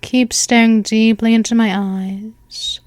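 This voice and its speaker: A woman's voice: a little high, flat delivery, takes it slowly, darkish tone, dry recording, studio quality. A woman voicing an ancient, seductive female vampire mistress in a hypnosis roleplay, talking in a soothing, coaxing way. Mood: hypnotic.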